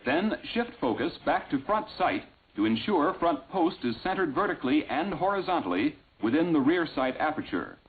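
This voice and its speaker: A man reading scripted instructional narration in a measured, clearly enunciated way, on an old film soundtrack. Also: on the high side, big pitch swings. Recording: tinny, band-limited vintage film soundtrack.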